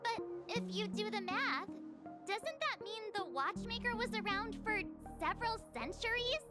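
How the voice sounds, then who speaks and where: A small, curious game sidekick, with an extremely high, childlike voice. The delivery is excitable and very animated in pitch. Music plays clearly behind it. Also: female voice, moderate pace. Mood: curious, cheeky. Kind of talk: video game voice acting. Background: background game music.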